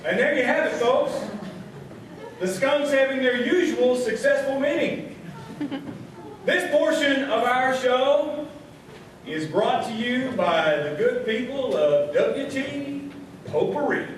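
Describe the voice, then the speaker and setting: A man's voice: very high-pitched, animated, slow, pauses often, full character voice. A man playing a folksy small-town host of a live radio variety comedy show, with showman's patter. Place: live show before audience in hall. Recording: echoey hall, distant, noisy live stage.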